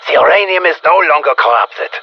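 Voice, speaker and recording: A sardonic German scientist from a video game, speaking over a dull radio link. His voice is husky and swings widely in pitch, with a thick streak of dry dark humour and drawn-out, theatrical asides. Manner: deadpan, wry, theatrical asides with drawn-out words. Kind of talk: scripted video game character lines.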